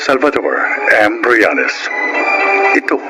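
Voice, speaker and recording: A man with a Filipino accent hosting a radio drama, reading scripted narration in a measured, dramatic announcer style. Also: mid-range voice, big pitch swings, gravelly, poor audio. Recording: broadcast audio with loud music bed. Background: loud music.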